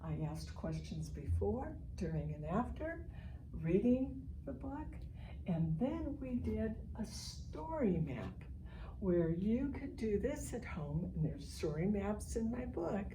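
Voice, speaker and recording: A woman's voice: medium pitch, sing-song, slow pace. An older woman with an American accent leads an informal online literacy lesson for families, explaining things step by step and stressing key words. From Chicago, United States. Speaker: literacy educator leading online family reading sessions. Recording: distant mic, clearly noisy home recording.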